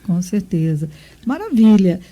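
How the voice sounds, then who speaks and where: A woman's voice: medium pitch, sing-song, unhurried, lo-fi sound. A woman from Brazil hosting a radio interview, effusive and full of praise. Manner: warm, effusive. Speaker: radio host.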